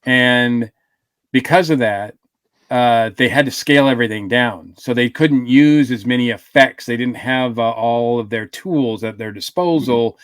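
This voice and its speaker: A man's voice: mid-range voice, measured pace, dry recording. Rambling man on a dry close mic. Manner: rambling, with many fillers and restarts. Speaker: podcast co-host. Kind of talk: casual podcast review chat.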